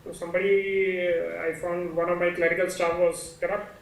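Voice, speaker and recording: Echoey, distant, high-voiced man asking questions. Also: flat delivery, creaky. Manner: halting, searching for words. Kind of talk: hesitant class question. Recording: distant, echoey, noisy remote microphone.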